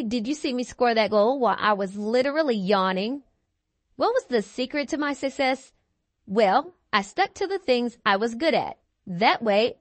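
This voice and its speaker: A woman's voice: high voice, a bit bright, no echo. A woman voicing a boastful, melodramatic cheese wheel in a children's picture book read-aloud, stretching key words theatrically. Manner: theatrical, bragging, drawn-out emphatic words.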